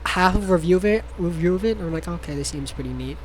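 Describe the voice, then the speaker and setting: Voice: medium pitch, animated, fast, rough recording. Casual game streamer, mid-range voice, reads aloud clumsily with sarcastic asides, faint noise behind. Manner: stumbles over read text, sarcastic asides, swears. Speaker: streamer reading a visual novel aloud. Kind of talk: casual playthrough, reading game text aloud with joking asides.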